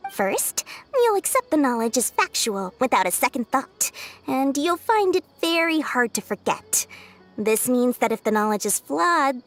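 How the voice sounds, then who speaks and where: A woman voicing a shrewd, playful young female merchant in a video game, chatty and sly. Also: high voice. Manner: sly, chatty, smooth salesmanship.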